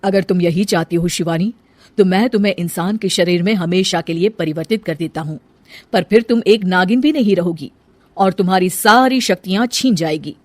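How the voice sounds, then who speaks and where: A woman's voice: lowish voice, normal speed, no echo, lightly acted. A woman voicing a solemn male moon god who speaks in declarations in a cartoon story. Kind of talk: Hindi cartoon moral story.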